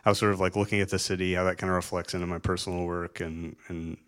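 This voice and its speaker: A man's voice: sits low, level tone, quick pace, fairly bright tone. A man, an artist and designer, talking through his work on stage in a loose, rambling way with lots of fillers and restarts. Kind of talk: live on-stage conversation.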